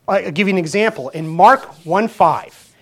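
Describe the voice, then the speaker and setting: A man's voice: high-pitched, expressive, normal speed. A fifty-year-old pastor preaches in a plain, conversational way. He keeps asking his listeners rhetorical questions and often restarts his sentences as he talks his point through. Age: 50s. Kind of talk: sermon.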